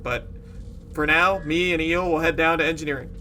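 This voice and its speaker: Male player, bright and somewhat high voice, casual in-character roleplay as a commander, faint background noise. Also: steady tone, brisk. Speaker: player acting as a starship commander.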